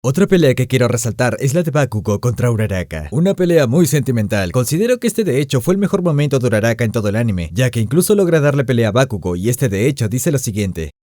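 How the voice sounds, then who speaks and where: A man's voice: medium pitch, very animated, quick pace, few pauses, dark tone, dry recording, studio quality. A man delivering scripted opinion commentary as an enthusiastic narrator of anime review videos.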